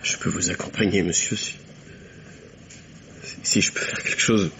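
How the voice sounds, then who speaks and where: An actor playing a carefree young bachelor shaken by guilt, speaking haltingly and earnestly in a 1950s-style French radio drama. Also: male voice, deep voice, steady tone, vocal fry, fairly bright tone. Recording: dull lo-fi old radio, noisy.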